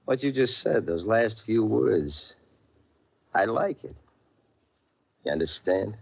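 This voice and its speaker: A cocky young gangster boss from a radio crime drama. He swings widely in pitch as he barks slangy, bossy orders with tough swagger. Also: animated, takes it slowly, creaky, breathy edge, muffled recording, full character voice. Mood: commanding. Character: brash, cocky young gangster boss posing as a dead leader. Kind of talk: old-time radio crime drama acting.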